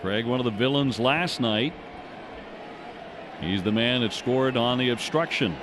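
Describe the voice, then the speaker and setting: A man's voice: mid-range voice, a little slow. A man calling live baseball play-by-play on the radio in descriptive, clipped phrases that follow the action. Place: ballpark broadcast position. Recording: noisy outdoor stadium ambience. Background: steady outdoor stadium noise.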